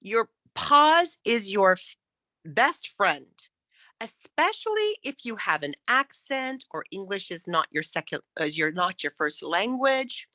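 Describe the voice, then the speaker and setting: A woman's voice: mid-range voice, sing-song, measured pace, bright, forceful tone, no room sound. A woman coaching public speaking in an interactive lesson, encouraging and emphatic as she demonstrates.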